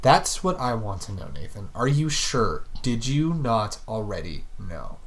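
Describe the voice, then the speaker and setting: A man's voice: on the low side, very animated, measured pace. A man playing a relentless, short-tempered police detective interrogating a suspect in an audio drama, with a pointed, pressing manner. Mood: cold, probing. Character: relentless male police detective, accusing, quick to anger.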